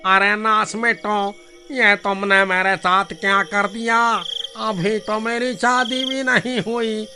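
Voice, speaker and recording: A woman's voice: mid-range voice, steady tone, slow, over music, lightly acted. A woman voicing a distressed, whining young village man in a Hindi cartoon, plaintive and exclamatory. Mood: panicked, wailing.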